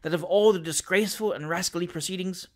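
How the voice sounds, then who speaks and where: A man voicing a wronged, genteel gentleman in an audiobook, formal and earnest with wounded dignity. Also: high voice, quick pace, close to an everyday voice. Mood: indignant.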